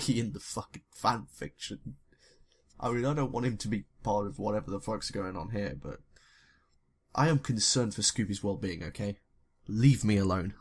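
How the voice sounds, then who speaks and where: Low-pitched sweary young man reading. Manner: sweary, self-deprecating. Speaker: YouTuber reading fan fiction. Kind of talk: casual story reading with joking asides.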